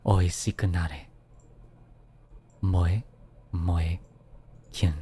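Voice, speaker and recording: A man voicing a sultry maid cafe server in a slow, low, breathy delivery, played back from a recording he made at home. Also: steady tone, warm tone, slight background noise.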